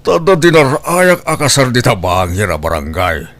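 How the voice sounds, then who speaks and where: A man's voice: mid-range voice, big pitch swings, normal speed, fairly bright tone. A man with an Ilocano accent playing an easygoing, friendly villager in a comedy drama. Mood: friendly, amused. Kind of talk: Ilocano comedy radio-style drama acting.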